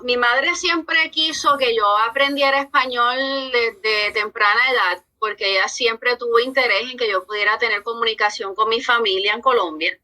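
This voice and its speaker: Fields: bright high woman, Puerto Rican accent. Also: rough recording. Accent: Puerto Rican Spanish. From born in New York, raised from age eleven in Puerto Rico. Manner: earnest, measured, with frequent "eh" pauses. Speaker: guest social worker. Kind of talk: podcast interview.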